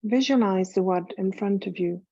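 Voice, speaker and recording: A woman guiding a scripted meditation as a yoga nidra teacher, calm and soothing, unhurried, with long pauses between phrases. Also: mid-range voice, dry recording, highs cut off.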